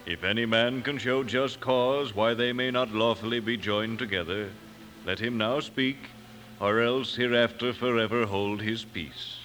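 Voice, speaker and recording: An actor playing a solemn, formal western parson who speaks ceremonially in an old radio drama. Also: male voice, medium pitch, fairly even intonation, measured pace, a bit bright, a little hiss or hum, lightly acted. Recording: old radio recording, organ music behind.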